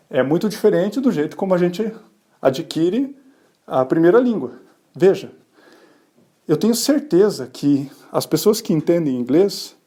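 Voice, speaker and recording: Mellow-voiced man explaining science casually. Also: a little high. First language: Portuguese. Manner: conversational, rhetorical questions answered himself; tag questions and fillers. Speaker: podcast host explaining bilingualism.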